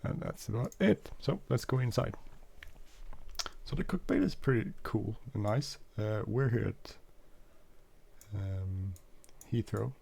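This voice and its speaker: A man's voice: fairly low pitch, animated, slow, vocal fry, mellow, husky. A man who is not a native English speaker, casually walking through a flight simulator, hesitant with many fillers and self-corrections. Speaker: flight sim video host. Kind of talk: casual gameplay walkthrough.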